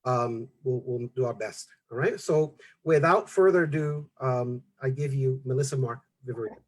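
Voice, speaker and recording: A man who is a reverend hosts a live online interview and introduces his guest. He talks informally, with plenty of fillers and restarts. His voice sits at an ordinary middle pitch for a man. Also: moderate pace. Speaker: host, reverend.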